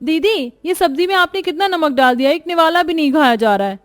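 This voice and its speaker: A woman puts on a whiny young female relative from a cartoon family story. She is high-voiced and demanding. Her lines come out as complaints and pleas. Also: lively intonation, a little fast, faint music behind. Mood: complaining. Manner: complaining, pleading.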